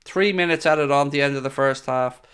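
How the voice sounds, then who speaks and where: A man's voice: medium pitch, moderate pace, a bit bright, clear, resonant tone. A man hosting a live football watchalong, ranting in exasperated, sarcastic reaction to his team's play. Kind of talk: live match commentary and reaction.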